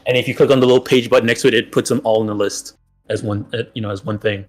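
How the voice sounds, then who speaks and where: A man, a game master, explaining his setup in a casual chat, halting with many fillers and restarts. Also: lowish voice, fairly even intonation, faint music behind. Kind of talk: casual chat about tabletop games.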